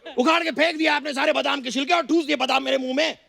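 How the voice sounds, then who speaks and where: A man's voice: very high voice, steady tone, fast, creaky, exaggerated voice. A man playing an overgrown, obedient son as a melodramatic film-hero parody in a stage sketch. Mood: exasperated. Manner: melodramatic, forceful shouting delivery.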